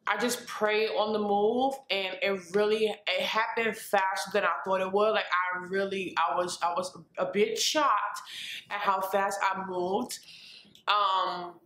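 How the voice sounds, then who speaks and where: A woman's voice: mid-range voice, fairly even intonation, slow, sharp tone, echoey room. A woman in her twenties from New York, chatting casually in a vlog with fillers and restarts. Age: 20s. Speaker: vlogger. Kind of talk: casual life-update vlog. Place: her apartment.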